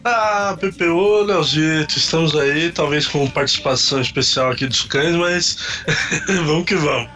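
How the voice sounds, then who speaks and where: A man's voice: a little high, normal speed, sharp tone, soft background music. A man with a Brazilian accent co-hosting a casual sports podcast, informal and a little hesitant in his phrasing. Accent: Brazilian Portuguese. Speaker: podcast co-host.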